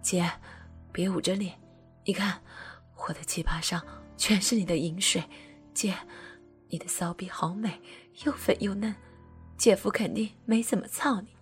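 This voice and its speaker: A woman voicing an eager younger brother, speaking in a breathy, hushed half-whisper. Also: fairly even intonation, a little slow, soft background music, lightly acted. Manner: low murmuring, half-whispered.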